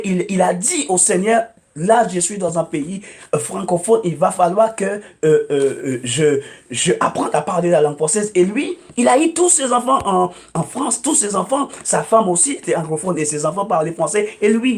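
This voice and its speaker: Guest preacher, male, native English speaker, high voice, emphatic, repetitive, hesitant fillers. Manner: emphatic, repeats phrases, many hesitant "euh"s. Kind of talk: preaching testimony on a talk show.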